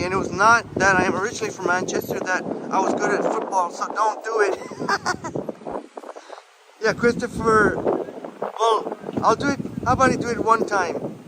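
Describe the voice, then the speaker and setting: A man with a high voice strolls city streets while hosting a livestream, rambling loosely and reading viewer comments aloud. Wind noise rushes across the mic as he walks outdoors. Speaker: livestream host. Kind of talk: casual walking livestream chat.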